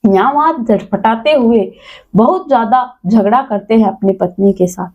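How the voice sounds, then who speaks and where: A woman's voice: on the high side, normal speed, lo-fi sound. A woman narrating a scripted dramatic story as a storyteller.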